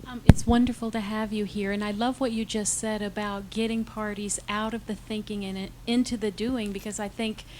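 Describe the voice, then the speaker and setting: A woman in the audience speaks up with an even, flat tone and little pitch movement, with faint room noise around her. She comments warmly on the speaker's point. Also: brisk, few pauses. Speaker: audience member. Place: conference room.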